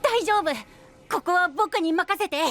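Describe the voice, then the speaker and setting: A man voicing a timid but earnest young male knight in an anime voice drama, with a soft, boyish, shy voice. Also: very high-pitched, measured pace, slight background noise. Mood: earnest resolve. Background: music.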